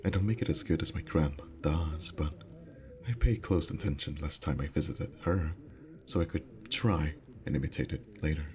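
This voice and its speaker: Very deep, soft-spoken boyfriend played by a man. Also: moderate pace, crowd noise. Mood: affectionate, cozy. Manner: soft, intimate, slipping into whispers. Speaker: voice actor. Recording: faint ambient background noise.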